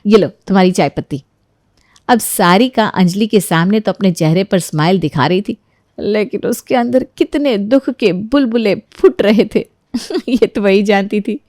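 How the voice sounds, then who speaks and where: Female storyteller, medium pitch, scripted story narration, dry recording. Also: unhurried, a little mellow, dry recording.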